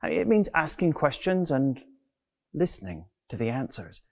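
Middle-aged male preacher, animated pitch. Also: moderate pace, vocal fry. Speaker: preacher, Anglican clergyman. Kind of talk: sermon, measured scripted delivery. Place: inside a large stone church. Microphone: lapel mic.

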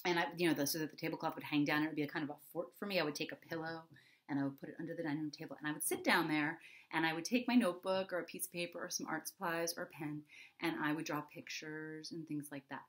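Lower-pitched middle-aged woman, expressive children's storyteller. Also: fast talker. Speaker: children's author reading her book and giving a writing prompt. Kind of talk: read-aloud and explaining to young children. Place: small indoor home room.

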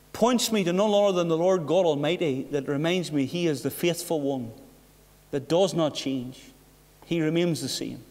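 A middle-aged man preaching a sermon behind a pulpit, earnest, with rhetorical questions and hushed passages. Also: fairly high pitch. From Northern Ireland. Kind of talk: sermon with personal story. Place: church hall behind a wooden pulpit. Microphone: pulpit mic from a far camera. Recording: echoey church hall.

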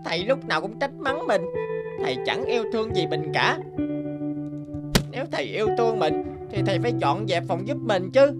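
A woman voicing a naive, petulant little boy monkey in an animated fairy tale. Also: medium pitch, natural voice. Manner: childlike, petulant. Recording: music playing under the voice.

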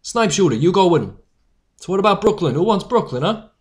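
A woman's voice: fairly low pitch, big pitch swings, brisk, darkish tone. A woman acting a cocky young male newsboy who leads a strike, talking in brash street slang in an amateur audio drama. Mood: rallying.

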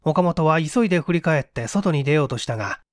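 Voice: medium pitch, animated, fairly quick, dry recording, studio quality. A stage performer narrating a literary mystery story in a dramatic audiobook style.

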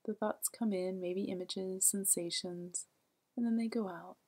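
A woman with a medium-pitched, airy voice guides a meditation. Her delivery is gentle and soothing, at times close to a whisper, and she leaves generous space between her calm, unhurried phrases.

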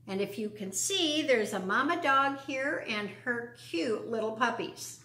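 An older American woman in her sixties or beyond hosting a children's storytime, talking and reading aloud in simple, deliberate phrases for young listeners. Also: on the high side, a bit bright. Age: 60s or older. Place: living room at home, indoors. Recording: roomy echo.

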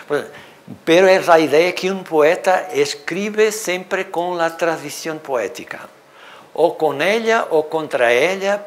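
A middle-aged man with a Portuguese accent, speaking Spanish as a second language, gives an animated university seminar lecture in a hall on a lapel mic, with many fillers. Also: medium pitch, a little hiss or hum. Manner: animated, gestures at projected slides, thinks aloud with many fillers. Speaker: literature professor.

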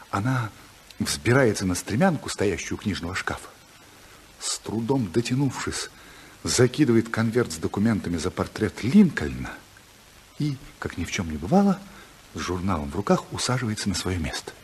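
An actor as the urbane narrator of a 1950s radio play, measured and dryly ironic in his storytelling. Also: lowish voice. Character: wry, urbane male storyteller. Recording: hissy 1950s radio recording.

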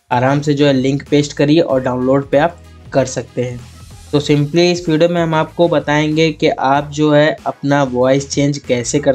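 A man, narrating a software tutorial as a channel host in an instructional way. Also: on the high side. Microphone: lapel mic. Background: faint background music.

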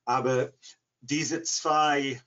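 Older man, English accent, non-native speaker, very slow halting delivery, dull video-call sound. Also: medium pitch, fairly even intonation, fairly bright tone. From England, near Liverpool. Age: 60s. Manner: halting, searching for words. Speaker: interview guest, language learner. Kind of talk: conversational interview answers. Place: living room at home. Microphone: computer mic on video call.

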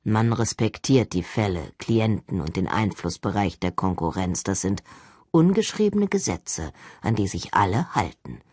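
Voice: low-pitched, big pitch swings, unhurried, darkish tone, husky, no room sound, studio quality, voice pushed down, heavily acted. An audiobook reader voicing a stern, arrogant older male agency director, speaking in an expressionless, formal and condescending way. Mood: cold, threatening. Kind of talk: audiobook character acting.

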